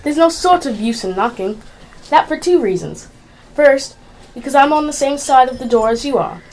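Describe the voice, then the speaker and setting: A woman's voice: sits high, expressive, moderate pace. A female amateur actor playing a stubborn, unhelpful, illogical servant in a radio play. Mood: indifferent. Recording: loud background noise.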